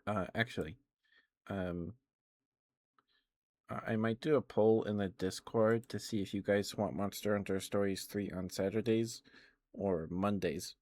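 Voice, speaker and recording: Low-pitched man rambling on an ASMR stream. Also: a little mellow, a little hiss or hum. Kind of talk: casual rambling ASMR livestream chat.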